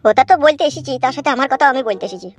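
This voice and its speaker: A man's voice: very high-pitched, expressive, rapid-fire. A man voicing a sly, cheeky young village man in a cartoon, coarse and teasing. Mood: coy. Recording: faint music behind the voice.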